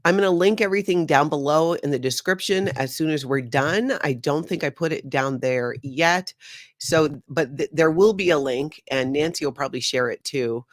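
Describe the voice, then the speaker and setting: A woman's voice: low voice, normal speed, dry recording. A middle-aged woman from Hawaii hosting a casual live stream from her home studio, bubbly and chatty, often rambling off topic. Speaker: host.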